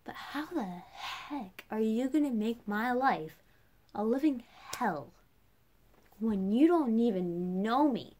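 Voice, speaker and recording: A woman's voice: a little high, expressive, slow, darkish tone. A young woman vlogging in a casual, chatty way, rambling through a personal rant with lots of "like" as a filler. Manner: chatty, rambling, heavy use of "like".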